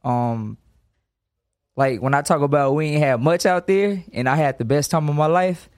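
A man's voice: on the high side, moderate pace, a little mellow. A man in his twenties from Los Angeles, a boxer talking casually in an interview, full of slang and emphatic asides. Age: 20s. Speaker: boxer guest.

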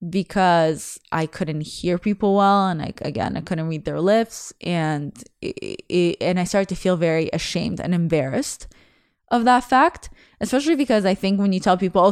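A woman's voice: fairly low pitch, a little mellow, clear, resonant tone, no echo, clean studio sound. A young woman whose first language is Russian, a podcast host talking candidly and chattily about herself, rambling with restarts. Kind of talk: casual confessional monologue.

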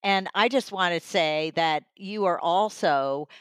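A woman's voice: fairly low pitch, measured pace, fairly bright tone, smooth, no room sound. Woman hosting an interview, very dry sound. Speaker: interview host. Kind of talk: conversational podcast interview.

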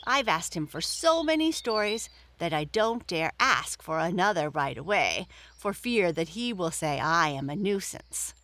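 A woman voicing a high, eager young rabbit. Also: big pitch swings, unhurried, hardly any pauses, a bit bright. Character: excitable young male rabbit.

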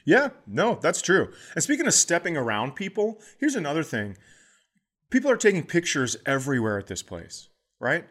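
A middle-aged man, a comedian co-hosting a podcast, telling stories in a casual, animated way and acting out the people in them. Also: on the high side, normal speed. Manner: animated, acts out voices in his stories.

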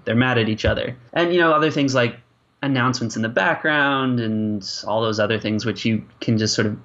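A man raised speaking French and English, giving interview answers as a linguist. He talks conversationally with many little asides and restarts, in a medium-pitched voice on slightly dull audio. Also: a little fast, a bit bright. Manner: hesitant starts, peppered with "you know" and "like". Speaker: linguist and language creator being interviewed.

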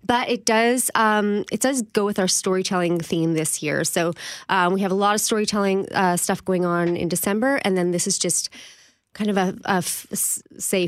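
A woman's voice: fairly low pitch, moderate pace, no echo, clean studio sound. A woman speaking as a guest from a museum in a casual, conversational radio interview. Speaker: guest, museum programming organizer.

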